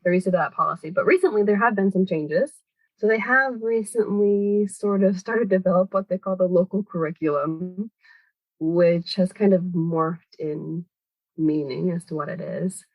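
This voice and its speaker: A woman's voice: on the low side, moderate pace, dry recording, muffled recording. Woman, podcast guest, long explanations, hedging, dry recording. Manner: thoughtful, hedging with "kind of" and "sort of". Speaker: podcast guest, language education organizer. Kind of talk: explanatory conversational interview answers.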